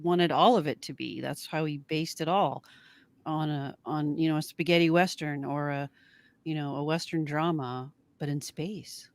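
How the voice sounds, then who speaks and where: A woman co-hosting a casual fan livestream, conversational and haltingly thinking aloud. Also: low-pitched, steady tone, dry recording. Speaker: co-host of a fan show.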